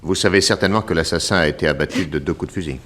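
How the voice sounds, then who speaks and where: An actor playing a calm, polite detective questioning witnesses in measured 1960s French radio mystery style. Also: male voice, low-pitched. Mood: calm, probing.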